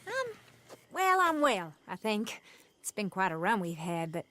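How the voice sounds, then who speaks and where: A woman playing a gentle, wistful young outlaw camp member in a naturalistic video game drama. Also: medium pitch, big pitch swings, unhurried, a little hiss or hum.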